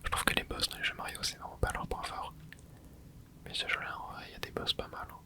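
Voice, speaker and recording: Whispering young man playing a game. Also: fast. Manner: soft whispering, with excited asides to viewers. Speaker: gaming ASMR creator. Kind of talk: whispered ASMR gameplay commentary.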